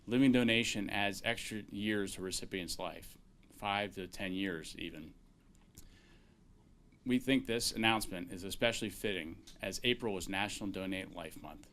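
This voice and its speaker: A man speaking as a transplant doctor at a press conference, measured and peppered with filler sounds. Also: deep voice, fairly bright tone, slight background noise.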